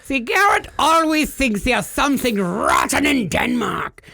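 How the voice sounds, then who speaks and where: High-voiced goofy podcast joker. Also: male voice, a little slow, vocal fry, no echo, studio quality. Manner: goofy, spins absurd deadpan jokes. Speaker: podcast co-host. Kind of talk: joking casual podcast banter.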